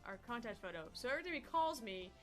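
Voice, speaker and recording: A woman's voice: on the high side, animated, fast talker, fairly bright tone. A woman co-hosting a gaming channel with casual, teasing, sweary banter. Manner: profane, teasing. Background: music.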